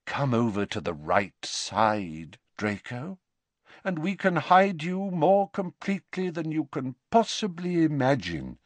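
A man voicing an elderly male wizard headmaster, speaking quietly and with measured courtesy. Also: a little high, sing-song, no echo, voice pushed up, full character voice. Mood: calm.

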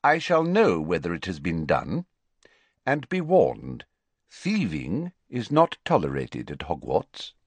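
A man voicing a calm, stern elderly wizard schoolmaster in a storybook, measured, courteous and authoritative. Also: low voice, breathy edge, dry recording, heavily acted. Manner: measured, deliberate, courteous but firmly authoritative.

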